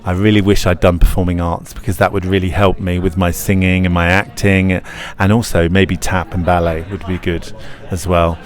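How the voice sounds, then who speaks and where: A man's voice: sits low, level tone, moderate pace. A man, a pantomime actor, chatting playfully and encouragingly in an interview. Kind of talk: casual interview chat.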